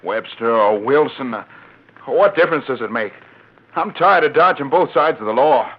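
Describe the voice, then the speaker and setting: A man's voice: mid-range voice, lively intonation, moderate pace, lightly acted. A man playing a plain-spoken, weary former outlaw in a 1940s radio western, with folksy frontier talk. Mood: resigned, determined. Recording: muffled 1940s radio recording.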